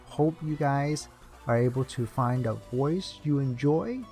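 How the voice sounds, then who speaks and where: Very low-pitched, dark-voiced woman presenter. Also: unhurried, faint music behind. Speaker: video presenter. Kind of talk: casual showcase narration.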